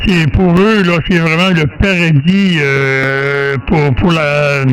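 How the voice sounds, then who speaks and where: An older man with a Québécois French accent chats casually over amateur radio in a halting, rambling way with drawn-out pauses. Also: fairly high pitch, steady tone, a bit bright, low-quality recording. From Quebec, Canada. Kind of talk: casual radio contact chat. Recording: amateur radio audio with faint noise.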